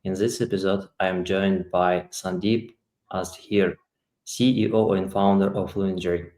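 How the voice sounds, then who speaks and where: Flat low-voiced male host, echoey room. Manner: reads the scripted intro evenly, with small slips. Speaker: podcast host. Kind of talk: reading an intro, then interviewing.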